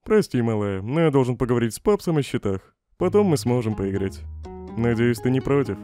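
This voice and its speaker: Guy doing a snarky irritable cartoon skeleton, dismissive, animated delivery, bit of music behind. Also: mid-range voice, brisk, close to an everyday voice. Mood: casual, teasing. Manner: sardonic, dismissive. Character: snarky, irritable, scheming male skeleton scientist. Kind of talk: comic dub voice acting.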